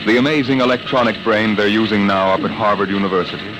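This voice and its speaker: Man as portentous radio storyteller, low animated voice, music underneath, vintage science fiction broadcast. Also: lightly acted, rough recording. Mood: portentous, measured. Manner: dramatic, deliberate. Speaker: announcer and narrator. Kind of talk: 1950s radio drama narration. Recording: old radio broadcast recording.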